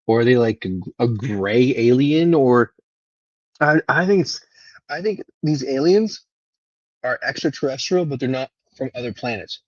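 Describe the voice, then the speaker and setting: A husky man with a fairly low voice hosts a casual livestream. He rambles without a script, halting and letting thoughts trail away. The sound is close, dry and slightly dull. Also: lively intonation, a little mellow, no room sound. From Venezuela. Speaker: live stream host. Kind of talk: casual unscripted livestream monologue.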